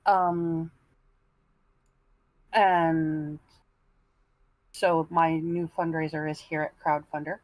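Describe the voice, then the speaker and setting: A woman on a panel stream speaking casually and plainly, in a halting way. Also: low voice, flat delivery, no room sound, poor audio. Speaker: panel member. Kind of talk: casual, halting plug to viewers.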